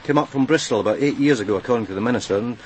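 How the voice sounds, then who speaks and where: Actor as a probing police sergeant, mid-pitched. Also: male voice, very fast, slight background noise, natural voice, poor audio. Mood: matter-of-fact. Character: steady, probing police sergeant, quietly wry. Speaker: detective sergeant. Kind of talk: naturalistic radio crime drama acting.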